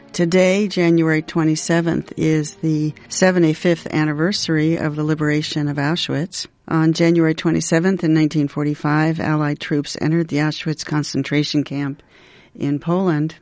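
A man presenting on radio, giving a measured, solemn spoken introduction. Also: a little high, a little mellow, no room sound. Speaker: radio host.

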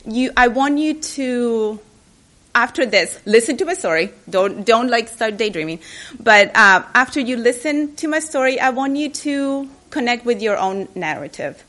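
A woman's voice: on the high side, animated, moderate pace, fairly bright tone. A woman gives a personal keynote speech at a library event, chatty and openly nervous, often restarting her sentences. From Chile. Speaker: keynote speaker.

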